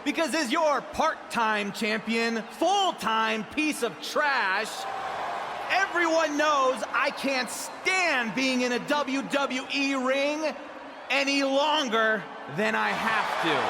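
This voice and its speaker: A sarcastic wrestler spoofing a vain champion in a video game. His voice is very high and he draws out each bombastic boast slowly. A loud arena crowd sits behind him. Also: background noise, exaggerated voice. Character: mocking wrestler parodying a conceited part-time champion. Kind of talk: wrestling promo delivered to an arena.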